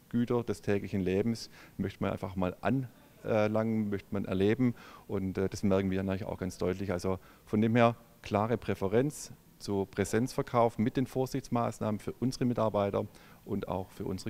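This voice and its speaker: A middle-aged man with a low, gravelly voice, speaking as a company executive in an interview. He talks haltingly, full of fillers and restarts, into a handheld mic in a large indoor showroom. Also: darkish tone. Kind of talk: on-camera interview. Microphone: handheld microphone.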